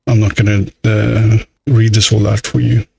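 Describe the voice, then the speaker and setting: A man's voice: on the low side, little pitch movement, normal speed, creaky, a little mellow, a little hiss or hum. A man giving casual let's play commentary in a grumbling, exasperated, mildly sweary way. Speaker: gaming video host.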